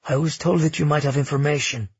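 A man voicing an earnest, determined young male prince with a husky voice. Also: on the high side, fairly even intonation, no echo, highs cut off. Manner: measured, insistent.

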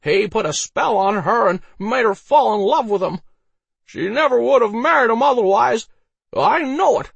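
Drunken commoner, storybook character, high swooping voice, slurred, mocking, loud. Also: male voice, expressive, moderate pace, vocal fry, breathy edge, no echo, full character voice. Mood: drunken, insistent. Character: drunken commoner man, mocking, laughing, defiant.

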